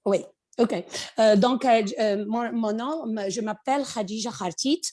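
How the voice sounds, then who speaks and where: A woman from Morocco with a Moroccan accent, a podcast guest talking conversationally and haltingly, searching for words and slipping in English. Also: fairly high pitch, mellow. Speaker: podcast guest, finance executive. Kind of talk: conversational interview.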